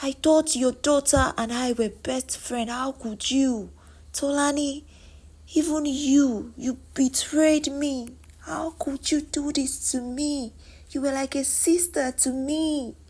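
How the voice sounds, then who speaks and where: A woman's voice: mid-range voice, huge pitch swings, a little slow, long pauses, darkish tone, pitched above the performer's own voice, full character voice. A Nigerian woman voicing an emotional young female friend in a story, exclaiming and pleading. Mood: hurt, betrayed.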